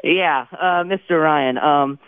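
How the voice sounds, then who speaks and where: Halting teenage boy, clear voice, phone-like sound. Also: on the high side, no echo. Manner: halting, searching for words. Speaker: student actor being interviewed. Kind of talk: casual chat. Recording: tinny phone-like line.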